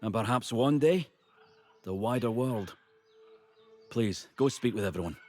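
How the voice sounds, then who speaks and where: Formal kindly fantasy game ally, medium pitched male voice. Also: expressive, unhurried, a little mellow. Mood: proud, gracious. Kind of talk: dramatic fantasy game acting.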